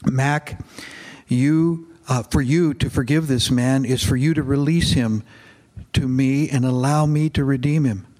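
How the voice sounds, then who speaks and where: A man reading aloud in the voice of God as a fatherly figure, calm, gentle and patient. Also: mid-range voice, measured pace, close to an everyday voice.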